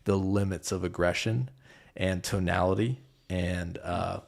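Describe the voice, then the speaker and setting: A man's voice: low voice, steady tone, measured pace, gravelly. A man talking as a podcast guest in casual conversation, hesitant, with many fillers and restarts.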